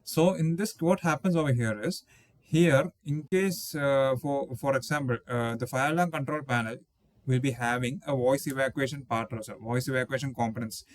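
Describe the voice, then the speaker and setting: A man in his thirties talks through technical slides as an instructor. He explains patiently and circles back to rephrase, with fillers like "okay". His voice sits at a middle pitch and sounds close and dry, with no room echo. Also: normal speed. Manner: explanatory, repeats and rephrases himself, frequent "okay" and "uh". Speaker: engineering instructor. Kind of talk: slide-based technical lecture. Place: indoors, voice-over on slides with small webcam inset.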